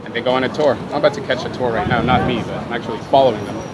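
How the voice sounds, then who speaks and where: A man's voice: mid-range voice, fairly even intonation, rapid-fire, a loud crowd. A man talking casually to camera as a vlogger, explaining things in an easygoing, conversational way and checking in with his listeners. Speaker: vlogger and electric unicycle rider. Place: outdoors on a city street. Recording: outdoor urban recording, loud traffic noise.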